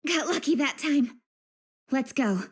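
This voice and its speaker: An actress playing a principled, impatient but sincere young woman official in an English game dub, speaking in a crisp, earnest, assertive way. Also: high voice, animated, takes it slowly. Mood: urgent, battle-ready.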